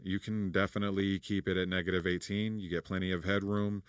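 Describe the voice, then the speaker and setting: Deep-voiced man explaining studio recording. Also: clean tone, no echo. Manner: relaxed, rambling, many fillers and restarts. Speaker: recording engineer and producer. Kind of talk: casual studio tutorial.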